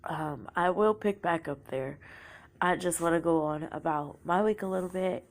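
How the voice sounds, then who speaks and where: A woman's voice: on the low side, moderate pace. A young woman with a sore throat from a cold, telling her own story in a casual, confessional way and often trailing off into a whisper. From Oklahoma, United States.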